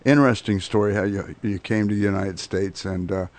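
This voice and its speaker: A man hosting a radio interview, asking questions in a relaxed way and backing the guest with steady affirmations. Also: on the low side, fairly quick, no room sound. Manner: conversational, prompting with frequent affirmations. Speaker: radio host. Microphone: studio microphone.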